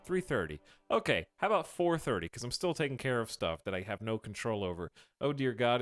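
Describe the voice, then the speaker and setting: Lively-voiced man rambling on a game stream. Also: medium pitch. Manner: rambling, thinking aloud while playing. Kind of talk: casual game-stream chat. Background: game music.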